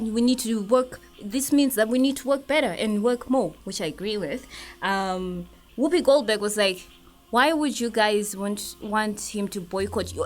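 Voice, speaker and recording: A woman hosting a casual entertainment discussion in a conversational, opinionated way. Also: on the high side. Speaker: talk show host. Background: music playing behind the voice.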